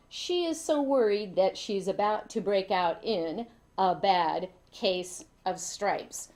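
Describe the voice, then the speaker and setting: A woman reading a children's story aloud in an expressive way, leaning dramatically on key words. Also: lowish voice. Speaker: storybook reader.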